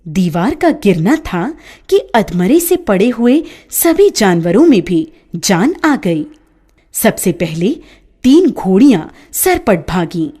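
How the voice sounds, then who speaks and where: A woman narrating a children's story in a scripted storybook style, with an expressive, lilting delivery. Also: medium pitch, breathy edge.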